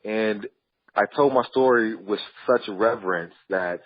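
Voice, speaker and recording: Earnest husky-voiced man, muffled audio. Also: lowish voice, a little slow, dry recording. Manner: earnest, with some repeated starts. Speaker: podcast guest. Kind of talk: storytelling interview answers.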